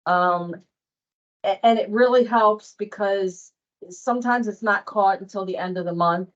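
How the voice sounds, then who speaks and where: Lower-voiced woman giving workplace training. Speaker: company training presenter. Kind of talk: informal workplace training presentation. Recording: dull, muffled lo-fi audio.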